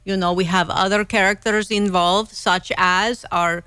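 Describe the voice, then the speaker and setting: A woman's voice: medium pitch, fairly even intonation, a little slow, pushed and bright, clear, resonant tone, dry recording. A woman putting on a grand Transylvanian vampire. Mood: haughty. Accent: put-on thick Transylvanian. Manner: drawling, grand, mock-menacing. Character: vampire woman from a castle, theatrical. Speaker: singer in a comedy band.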